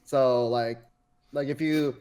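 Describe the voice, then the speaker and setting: A man's voice: medium pitch, steady tone, takes it slowly, warm tone. A man born in Korea and raised partly in the US, a native Korean speaker, answering casually as an interview guest. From born in Korea, raised between Korea and the US. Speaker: podcast guest, esports agent.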